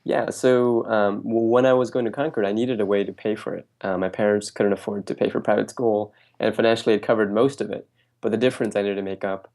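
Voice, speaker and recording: A young man with a fairly low voice answers interview questions. He talks loosely and casually, with many ums and restarts. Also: quick pace, creaky. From Bangladesh, raised in New Jersey. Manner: relaxed, with frequent fillers and restarts. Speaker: guest.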